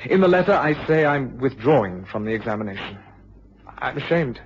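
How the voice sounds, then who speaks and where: Man acting a guilty young student in a radio play, halting and sorry, medium pitch, dull hissy recording. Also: lively intonation, close to an everyday voice. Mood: contrite. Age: young adult. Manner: halting, contrite. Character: ashamed young male student, honourable but guilt-ridden.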